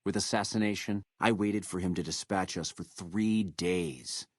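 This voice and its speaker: An actor playing a proud, menacing captured military officer in a video game, with a measured, contemptuous delivery. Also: male voice, low voice, dry recording, clean studio sound. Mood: bitter, proud. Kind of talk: video game character dialogue.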